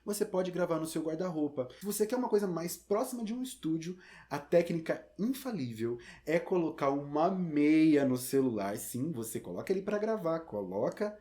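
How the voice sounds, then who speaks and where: A man's voice: on the high side, lively intonation, moderate pace, few pauses, dark tone. Brazilian man giving a casual tutorial. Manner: informal, chatty, addresses the listener directly. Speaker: theatre and audio tutorial presenter.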